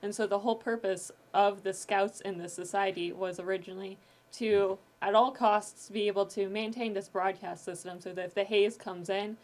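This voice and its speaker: A woman's voice: mid-range voice, fairly even intonation, moderate pace, darkish tone. A woman guest explaining the world of an audio drama she makes, in casual and enthusiastic conversation. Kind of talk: casual chat, explaining fictional world-building.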